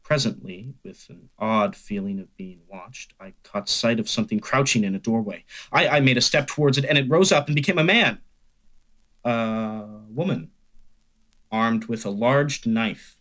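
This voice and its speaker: A man's voice: medium pitch, moderate pace, a bit bright, natural voice. A man playing an earnest, weary, uneasy survivor in a radio play, speaking with a dramatic, hesitant delivery. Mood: tense narration. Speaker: actor narrating in a radio play. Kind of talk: radio drama narration and dialogue.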